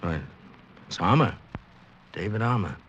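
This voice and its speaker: Radio drama lead: confused man who lost his memory, deep register, dramatic forties delivery, faintly noisy vintage recording. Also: animated, a little slow, a bit bright, muffled recording. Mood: anxious, agitated. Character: bewildered amnesiac American man recovering in a hospital. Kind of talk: 1940s radio acting, first-person narration and dialogue.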